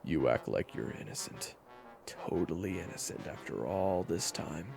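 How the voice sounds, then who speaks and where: Man playing a very deep, menacing vengeful gunslinger. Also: lively intonation, moderate pace, creaky, over music, full character voice. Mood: accusing.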